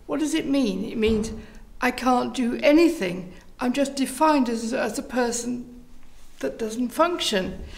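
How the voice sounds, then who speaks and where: A woman's voice: fairly high pitch, unhurried, gravelly. A woman answering interview questions and telling stories as the leader of a theatre group.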